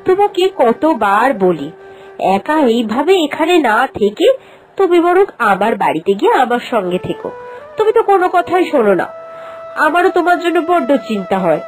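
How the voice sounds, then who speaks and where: Sweet young village wife, high, very up and down, cartoon. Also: female voice, big pitch swings, moderate pace, faint music behind. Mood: caring. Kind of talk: animated cartoon voice acting.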